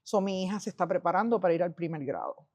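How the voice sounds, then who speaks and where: A woman's voice: lowish voice, expressive, very fast, a little mellow. Puerto Rican woman telling stories bilingually. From Puerto Rico. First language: Spanish. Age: 50s. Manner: switches freely between English and Spanish, acts out quoted dialogue. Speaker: podcast guest, film festival director. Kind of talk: conversational personal storytelling.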